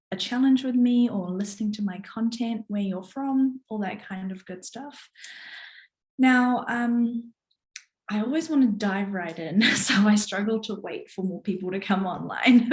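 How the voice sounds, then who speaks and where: In a roomy, echoing space, a woman speaks at a medium pitch with a strained, partly lost voice. She talks like a coach on a live stream, chatty and encouraging, addressing her followers casually. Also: darkish tone. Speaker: coach hosting a live online challenge.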